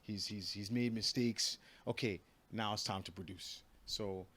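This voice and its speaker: Man, 30s, from New York, fairly low voice, brash and confident, stammering restarts, casual interview. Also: steady tone, measured pace, fairly bright tone. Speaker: professional fighter.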